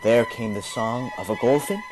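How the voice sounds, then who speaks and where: A man narrates a children's storybook with lively, swooping intonation and a dramatic touch. His mid-pitched voice moves expressively through each phrase, with music playing clearly behind him. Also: normal speed. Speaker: storyteller reading a children's book aloud.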